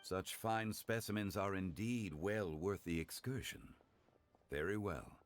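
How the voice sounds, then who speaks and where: A man playing a refined, courteous, wise gentleman in a video game, speaking formally and eloquently with composure. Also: low-pitched, a little slow, slight background noise. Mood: slyly persuasive.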